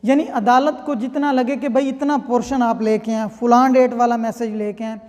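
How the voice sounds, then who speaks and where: A woman lecturing on legal articles. She reads quoted clauses slowly and then explains them, often checking in with the listener. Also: a little high, steady tone, darkish tone. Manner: repeats "theek hai" as a check-in. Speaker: law lecturer. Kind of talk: explaining law articles, reading clauses aloud. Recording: long hall reverb.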